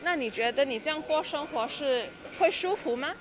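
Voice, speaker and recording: A woman interviewing people on the street, asking clear, inquisitive questions. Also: high voice, a little fast. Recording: clear background noise outdoors.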